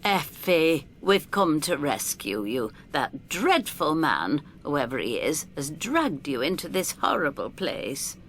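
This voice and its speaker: An actress playing a plucky, down-to-earth older woman who narrates her adventure in an expressive, wry first-person style. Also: low-pitched, slow, few pauses, a little hiss or hum, exaggerated voice. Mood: concerned, exasperated. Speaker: narrator and lead actor.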